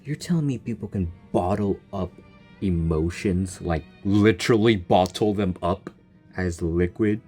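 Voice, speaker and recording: A game streamer giving casual, expressive, exclamatory reactions to a story. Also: low voice, a little slow. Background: game music.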